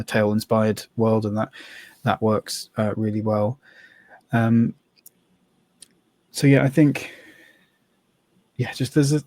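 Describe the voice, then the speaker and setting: A man with a low voice and plenty of vocal fry talks casually as a podcast host. He is tentative and self-deprecating, feeling his way through sentences. The sound is close and dry. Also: darkish tone, dry recording.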